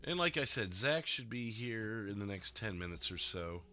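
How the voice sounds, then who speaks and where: Lowish stuffy voice, man rambling to his stream chat, kinda sharp tone, music faint behind. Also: expressive, normal speed. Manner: chatty, rambling, laughs often. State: stuffy, slightly rough voice. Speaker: livestreamer playing a roleplay dispatcher. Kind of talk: casual chat with stream viewers.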